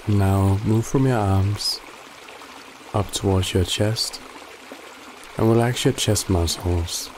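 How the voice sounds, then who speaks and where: A man guiding a body scan meditation in a calm, gently instructive way with long pauses. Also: fairly low pitch, slight background noise. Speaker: meditation guide. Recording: loud running water behind the voice. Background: trickling stream water ambience.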